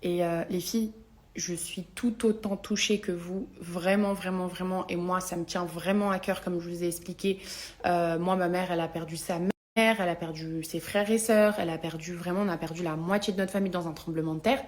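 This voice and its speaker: A woman's voice: lowish voice, steady tone, brisk. A young adult woman, talking casually and confessionally to her followers in slangy, emphatic speech, repeating words for stress. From Algerian family. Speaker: social media vlogger.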